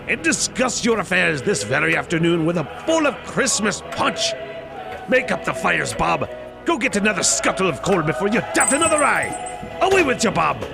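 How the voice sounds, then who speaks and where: A man's voice: on the high side, vocal fry. A man playing an elderly reformed miser in an audio drama, theatrical, exclamatory and giddy with joy. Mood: generous, merry. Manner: theatrical, exclamatory, bursting into laughter.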